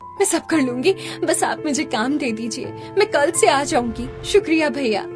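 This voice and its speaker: A woman voicing a poor, hardworking, devoted mother in an animated story, earnest and pleading. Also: high-pitched, normal speed, over music.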